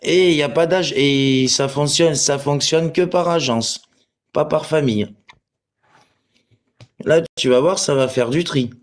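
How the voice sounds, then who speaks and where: A man's voice: fairly high pitch, unhurried, pauses often. A man, crude and abusive, arguing with commenters on a livestream and swearing freely. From Portugal. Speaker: live stream participant. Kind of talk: livestream chat replying to commenters.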